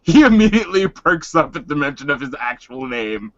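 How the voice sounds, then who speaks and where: A man's voice: fairly high pitch, sing-song, a little fast, fairly bright tone, no echo, lo-fi sound. A man casually improvising narration as a player in a tabletop roleplaying game.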